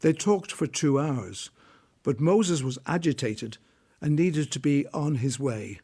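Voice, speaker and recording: A man's voice: fairly high pitch, animated, takes it slowly, mellow, husky. A man narrating a biblical radio drama in a scripted storytelling style.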